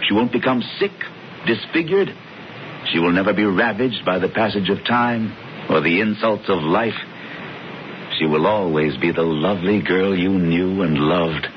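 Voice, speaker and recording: An actor playing a smooth, persuasive older brother in a radio drama, with theatrical, emphatic, deliberate phrasing. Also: male voice, low voice. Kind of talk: 1970s radio drama acting. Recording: dull, noisy old radio broadcast.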